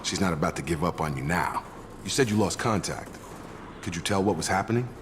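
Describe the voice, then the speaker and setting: Deep-voiced soldier, played by a man. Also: fairly quick, lightly acted. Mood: steady, frank. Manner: plain-spoken, colloquial. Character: steady, plain-spoken male soldier in charge of security. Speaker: soldier, station security chief. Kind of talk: video game character acting. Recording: noisy battle ambience behind.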